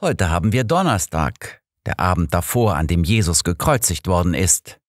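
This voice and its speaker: A man's voice: deep voice, very animated, normal speed, no room sound, studio quality. A man voicing an old master detective in an audiobook, precise, formal and self-assured in his explanations. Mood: explaining, assured. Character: old master detective, analytical, sure of himself.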